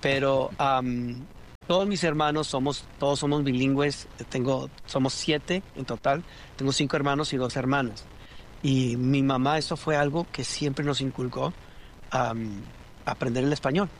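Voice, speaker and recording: Halting man. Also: medium pitch, fairly even intonation, a little hiss or hum. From Rio Grande Valley, Texas. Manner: halting, many restarts and fillers. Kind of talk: casual interview.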